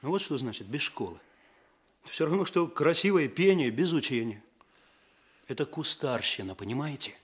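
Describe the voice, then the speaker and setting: An actor playing an earnest, impatient young police chief in a radio play, speaking eagerly and insistently. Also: male voice, mid-range voice, animated, moderate pace, slightly rough. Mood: earnest, sceptical. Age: young adult.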